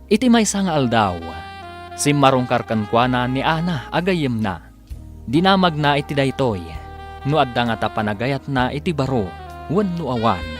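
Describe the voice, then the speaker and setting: A man narrating a radio drama serial as announcer, with emphatic, deliberate, dramatic delivery. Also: medium pitch, animated, soft background music.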